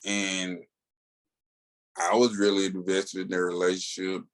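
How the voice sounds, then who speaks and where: A man with an American accent and a fairly low voice talks as a podcast co-host. He is casual and animated, telling stories like an enthusiastic fan and dropping in slangy asides. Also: measured pace.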